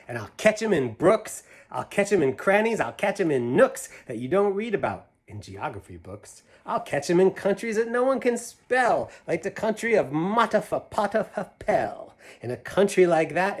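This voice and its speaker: Guy reading as excited little boy bragging in sing-song rhymes, storybook style, voice swoops all over. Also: sits high, moderate pace, few pauses, voice pushed up. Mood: excited, grand. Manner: excited, boastful, sing-song rhyming declamation. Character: eager young boy dreaming up his own zoo.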